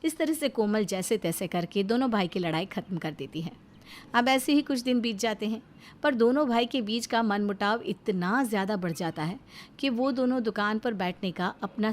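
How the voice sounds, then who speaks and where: A woman narrating a scripted family story as a storyteller. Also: medium pitch.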